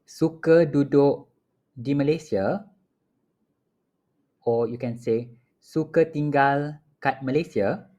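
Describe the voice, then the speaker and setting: A man leads a home language lesson at an unhurried crawl, pronouncing every phrase deliberately and repeating it. His voice sits at an ordinary middle pitch, calm and steady. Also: muffled recording. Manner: repeats each phrase slowly and clearly for learners. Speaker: language teacher. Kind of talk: slow, repetitive phrase-by-phrase teaching. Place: home apartment.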